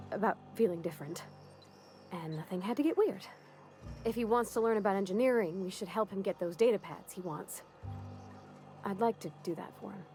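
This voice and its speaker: A young woman acting an eager, awkward ship mechanic in a video game, with a folksy, halting, earnest manner. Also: mid-range voice, darkish tone, natural voice. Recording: music behind the voice.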